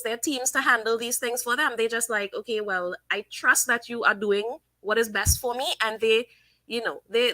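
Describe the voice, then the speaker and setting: Chatty bright-voiced woman livestreamer. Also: on the high side, dry recording, rough recording. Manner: chatty, opinionated, thinks aloud with fillers. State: sniffly from sinus trouble. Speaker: livestream host. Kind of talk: casual live commentary reading chat.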